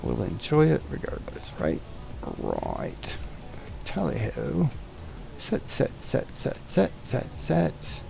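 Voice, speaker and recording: Male sim-flying host, very gravelly, slow and easygoing, chatty and joking. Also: medium pitch, wildly swooping pitch, darkish tone, soft background music. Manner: chatty, joking, talks to the aircraft. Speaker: flight sim streamer host. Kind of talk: casual chat while flying a simulator.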